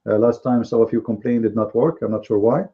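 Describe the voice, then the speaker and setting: A man whose first language is Arabic, hosting a live online commentary show, with emphatic, repetitive speech and drawn-out stresses. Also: mid-range voice, fairly even intonation, phone-quality sound.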